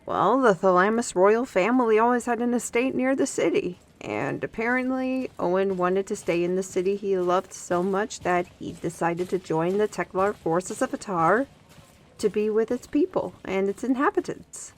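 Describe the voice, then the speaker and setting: A woman playing a young, guarded, gently teasing fantasy woman in a roleplay audio drama, talking directly to the listener in a conversational way. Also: medium pitch, normal speed, close to an everyday voice, poor audio. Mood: fond, explaining. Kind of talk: scripted roleplay audio acting, ASMR.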